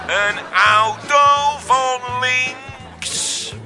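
A man's voice: extremely high pitch, music in the background, heavily acted. A man voicing a young male caller who stammers and recites a clumsy poem in a radio comedy sketch. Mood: sing-song. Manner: slow, halting, stammering. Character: Wietse, high squeaky young male caller.

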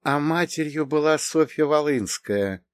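Imperious middle-aged aunt of noble birth played by a male narrator: raised pitch, haughty, commanding, dry audiobook sound. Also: animated, normal speed, a bit bright, no room sound. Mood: astonished, probing. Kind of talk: acted audiobook dialogue.

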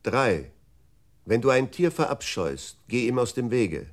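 Computer reciting unit, radio play: middle register, pointed, formal, emphatic declamation. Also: male voice, medium pitch, big pitch swings, a little slow. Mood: logical, emphatic. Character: male computer memory unit, pointedly reciting processed verse. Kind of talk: formal recitation, 1960s German radio drama.

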